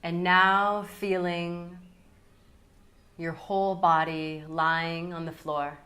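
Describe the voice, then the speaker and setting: Very slow, low-pitched woman guiding meditation. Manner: calm, soothing, unhurried with long pauses. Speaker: guided meditation teacher. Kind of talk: guided yoga nidra meditation.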